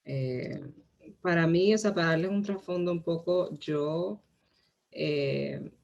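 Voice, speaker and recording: A woman from Rico, giving a lecture as a guest curator, often pausing with fillers and restarting her sentences. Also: on the low side, vocal fry, darkish tone, lo-fi sound. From Puerto Rico. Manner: hesitant, many fillers and restarts. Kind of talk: lecture presentation.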